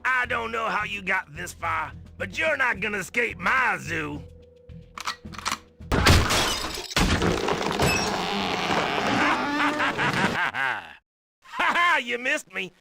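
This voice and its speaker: An actor playing a nutty, gung-ho zoo hunter in a cartoon video game, gravelly and blustering like a drill sergeant. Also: male voice, sits high, sing-song, very slow, pauses often, heavily acted. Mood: gloating, belligerent. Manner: blustering, drill-sergeant barking, folksy grammar. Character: gung-ho, ranting zoo hunter, nutty night security man. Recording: loud music over the voice.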